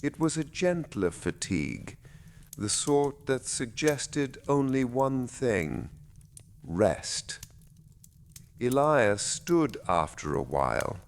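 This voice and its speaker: A man narrating a bedtime story in a soothing, gentle storyteller's way, with phrases that fall softly at the ends. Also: lowish voice, slight background noise.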